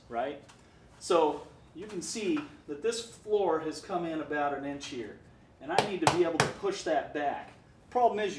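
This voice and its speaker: A man's voice: fairly high pitch, echoey room, recorded from a distance. A man casually walking through how he repaired his basement walls, explaining plainly and restarting his sentences as he goes. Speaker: homeowner doing a DIY repair.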